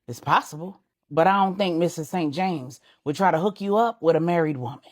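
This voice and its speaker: A woman voicing a calm male general in an audiobook, a loyal subordinate who explains things in a measured way. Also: fairly low pitch, pitched below the performer's own voice, close to an everyday voice. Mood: matter-of-fact. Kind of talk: audiobook character dialogue.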